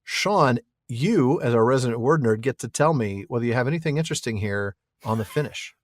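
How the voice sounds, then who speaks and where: A man's voice: medium pitch, lively intonation, a little fast, dry recording, studio quality. A man co-hosting a podcast, reading listener mail and reasoning aloud in an easygoing way, chuckling often. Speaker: podcast co-host.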